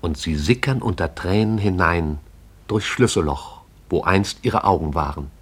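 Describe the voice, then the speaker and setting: A man narrates a radio play in a measured, poetic way. His voice sits fairly low. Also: husky.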